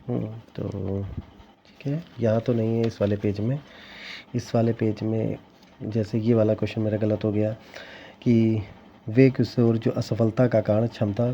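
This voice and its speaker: Gravelly, dark-voiced young man, lo-fi. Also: lowish voice, a little hiss or hum. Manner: rambling, restarts often, sounds regretful. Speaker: teacher exam candidate sharing his scores. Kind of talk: casual vlog talk to viewers. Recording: dull lo-fi sound with faint ambience.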